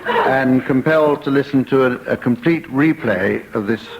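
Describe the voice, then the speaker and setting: A man's voice: medium pitch, normal speed, vocal fry, muffled recording. A man hosting a comedy panel game on radio, wordy and mock-formal in his delivery. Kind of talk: dry comic hosting of a radio panel show. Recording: noisy old live radio recording.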